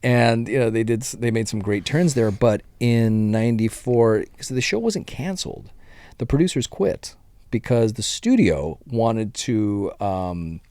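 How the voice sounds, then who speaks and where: A middle-aged man with a fairly low voice chats as a podcast co-host. His delivery is colloquial and conversational, like someone filling a friend in on background history. The recording is dry, with little room sound. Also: a little slow, dark tone, dry recording. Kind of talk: casual chat, explaining cartoon history.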